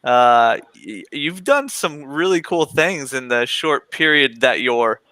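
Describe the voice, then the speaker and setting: A man's voice: mid-range voice, expressive, takes it slowly, sharp tone, no echo. A man from Oklahoma with a Midwestern accent, hosting a casual interview and laughing often. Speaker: podcast host.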